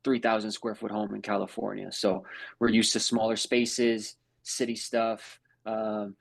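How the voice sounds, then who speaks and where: A laid-back man in his thirties, a native Portuguese speaker raised in New York, talking casually as a podcast guest. His voice sits low and gravelly, full of fillers and "bro". It is recorded dry and close, with no room sound. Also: level tone, unhurried, dry recording. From Brazil, raised in New York. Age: 30s. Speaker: guest, house flipper.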